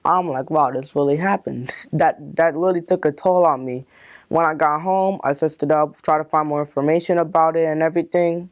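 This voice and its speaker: A man's voice: a little high, steady tone, brisk, dry recording. A young man casually recalling a personal experience in an interview.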